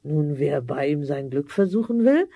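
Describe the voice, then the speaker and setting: A woman playing a worried, homely older mother in a 1970s fairy-tale audio drama, speaking in a halting, motherly way. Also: deep voice, expressive, warm tone, breathy edge, no echo, exaggerated voice. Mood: reminiscing.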